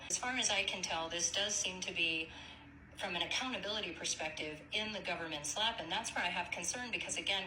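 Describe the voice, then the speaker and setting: A woman with a slightly low, very bright and sharp voice argues a point in a phone conversation. She is measured, earnest and persistent, like a caller holding an official to account. There is faint noise on the recording. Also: low-quality recording. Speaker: caller questioning a city official.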